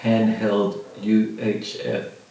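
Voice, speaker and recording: A man's voice: on the low side, fairly even intonation, very slow, mellow. A middle-aged man giving a casual unboxing commentary in a vlogger's style. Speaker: vlogger reviewing gear. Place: indoor room with bare walls, at a table. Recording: very echoey room, distant mic, faint noise.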